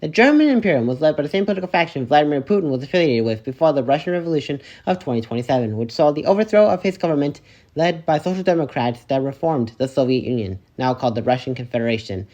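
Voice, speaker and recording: A man playing a solemn, patriotic American general who gives a formal, declamatory and slightly halting victory address in an amateur drama. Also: on the high side, lively intonation, darkish tone, lightly acted. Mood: solemn triumph. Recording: dull lo-fi home microphone.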